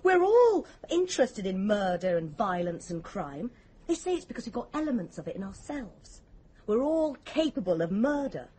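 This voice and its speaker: A woman's voice: mid-range voice, very animated, moderate pace, warm tone, breathy edge. An actress playing a blunt, morbidly curious young English woman in a radio drama, opinionated and insistent. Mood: morbidly fascinated. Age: young adult.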